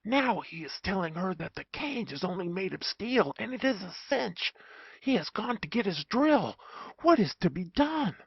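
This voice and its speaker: Narrator doing an excitable pompous German professor, dull sound. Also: medium pitch, normal speed, husky, no echo, voice pushed up. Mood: alarmed whispering. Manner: dramatic, hushed exclaiming. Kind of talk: comic audiobook character acting.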